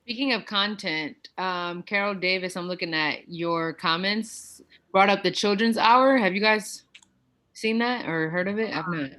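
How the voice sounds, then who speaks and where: A woman's voice: medium pitch, measured pace, pushed and bright. A woman chatting casually as a participant on an online livestream. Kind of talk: casual chat. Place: at home on a multistream video call.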